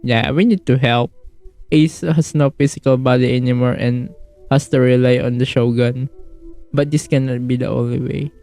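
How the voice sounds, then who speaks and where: A woman streaming a video game, giving casual, halting, informal reactions as she plays. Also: extremely low pitch, fairly even intonation, dark tone. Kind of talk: casual gameplay commentary. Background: faint game music.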